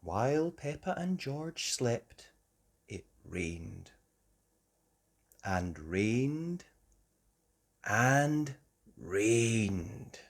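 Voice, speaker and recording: A woman narrating a children's storybook aloud in an expressive, measured way. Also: extremely low pitch.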